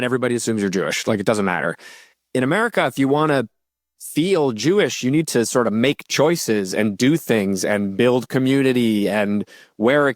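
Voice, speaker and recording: A man's voice: medium pitch, dry recording. Reflective man, dry close sound. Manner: reflective, thinking aloud with fillers. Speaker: podcast host. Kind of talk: conversational interview.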